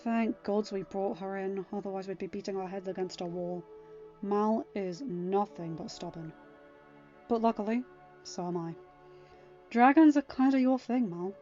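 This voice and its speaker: A woman's voice: mid-range voice, normal speed, mellow, lightly acted. A woman voicing a blunt, stubborn young woman in an audiobook, a worried and bossy cousin who challenges. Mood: stubborn concern. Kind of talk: acted audiobook dialogue. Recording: dull lo-fi home recording, music underneath.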